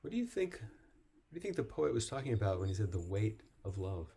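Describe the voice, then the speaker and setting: Soft-spoken low-voiced man leading a group. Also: sing-song, quick pace, creaky, slightly rough. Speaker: meditation teacher leading a group. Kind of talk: gentle guided group discussion.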